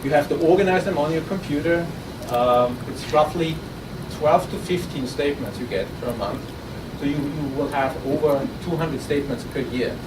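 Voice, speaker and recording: A man with an Austrian accent giving a live startup pitch to an audience, talking conversationally and often restarting his sentences. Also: normal speed, hardly any pauses. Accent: Austrian German. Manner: conversational with frequent restarts and repeated words. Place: conference room at an innovation event. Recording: roomy, distant, noisy audience recording.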